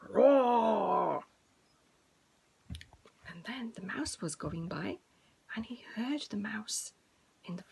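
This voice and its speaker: A woman's voice: mid-range voice, darkish tone, husky. A woman telling a story to preschool children in an informal way, with simple, repeated phrases addressed to young listeners.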